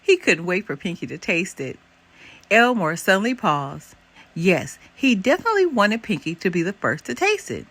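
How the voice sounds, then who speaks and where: An older woman reading a children's picture book aloud as an expressive storyteller. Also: mid-range voice, normal speed, breathy edge. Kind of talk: expressive scripted narration for children.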